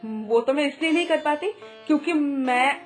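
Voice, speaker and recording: A woman's voice: sits high, normal speed, soft background music, lightly acted. A woman playing a bossy, mocking elder sister-in-law who scolds and taunts theatrically in a cartoon story. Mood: defensive. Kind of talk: Hindi animated moral story acting.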